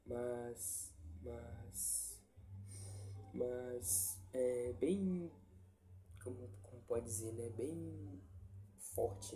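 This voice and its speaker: Man, stuffy head cold, very dark mellow tone; casual, halting devotional talk; faint noise behind. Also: mid-range voice. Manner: halting, many restarts and tag questions. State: congested with a cold. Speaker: lay preacher sharing a Bible reflection.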